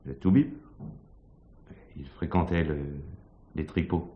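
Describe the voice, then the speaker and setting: An actor playing a deferential, streetwise police detective with a laconic, colloquial manner in a French crime drama. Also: male voice, sits low, slow pace, muffled recording. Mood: matter-of-fact.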